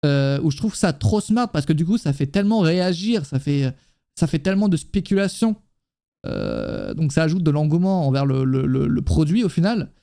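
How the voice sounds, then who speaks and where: A man's voice: a little high, very animated, moderate pace, vocal fry, mellow. A man co-hosting a casual live-stream chat, talking in an informal, slangy way with casual swearing. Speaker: wrestling talk show co-host.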